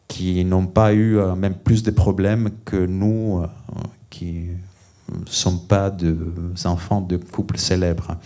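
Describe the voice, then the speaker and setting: A man's voice: sits low, warm tone. A man from Greece with a Greek accent, an author speaking at a library panel, hesitant with many fillers and restarts. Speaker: guest author. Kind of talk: panel discussion at a library event. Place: library event room.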